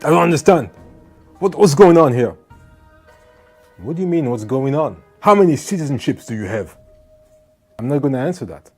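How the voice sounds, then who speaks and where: A man born in France recounting a run-in with police in a conversational, animated way, full of asides to the listener. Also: fairly high pitch, moderate pace, pauses often, faint music behind. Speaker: vlogger. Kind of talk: storytelling to camera.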